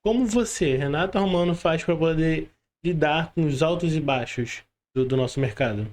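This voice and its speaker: A man with a Brazilian Portuguese accent hosting a casual interview, asking questions in a halting way with repeated words. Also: fairly high pitch, darkish tone. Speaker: podcast host.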